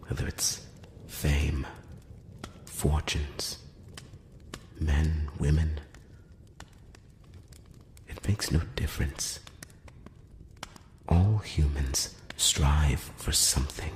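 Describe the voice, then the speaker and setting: Man acting as male elf emissary, bottomless low whisper, unhurried, measured, formal, solemn, breathy, fantasy ASMR roleplay. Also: roomy sound, slight background noise, exaggerated voice. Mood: knowing, probing. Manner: slow, deliberate, formal, with long pauses. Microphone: close-up microphone.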